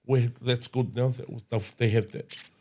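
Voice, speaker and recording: A young man co-hosting a casual podcast, talking in a halting way with lots of "um" and "yo" interjections. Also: medium pitch, fairly even intonation, dark tone, slightly rough. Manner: halting, many fillers and restarts, says yo often. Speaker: podcast co-host. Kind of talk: casual chat.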